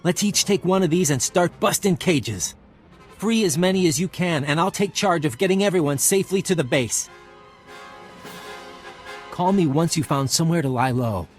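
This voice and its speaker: A man's voice: fairly high pitch, moderate pace, faint music behind, close to an everyday voice. An actor playing an eager, upbeat and cocky male resistance fighter in a video game. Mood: surprised, grateful.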